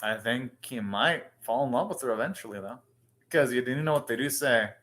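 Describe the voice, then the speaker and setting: A man's voice: medium pitch, fairly quick, fairly bright tone. A man hosting an anime reaction, commenting casually and thinking aloud in short, rambling remarks.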